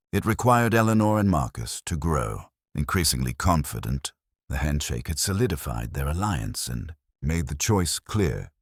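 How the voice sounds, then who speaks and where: A man narrating a scripted first-person drama in a measured, dramatic storytelling delivery. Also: sits low, no room sound, studio quality.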